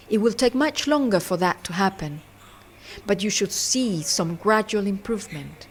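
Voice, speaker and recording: A woman narrating a training film as an instructor, explaining in a measured way. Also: medium pitch, a little hiss or hum.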